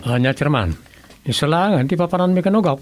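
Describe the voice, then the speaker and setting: Actor as lively, easygoing village man. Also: fairly high pitch, fast talker, close to an everyday voice. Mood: respectful. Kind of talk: Ilocano radio drama acting, conversational.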